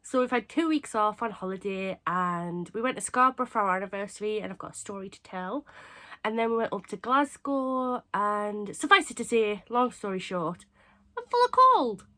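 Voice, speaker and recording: A young woman from England with a cold, sounding hoarse and stuffy, chatting casually and rambling to camera as a craft channel host. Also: medium pitch, lively intonation, moderate pace, sharp tone. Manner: chatty, rambling, self-mocking.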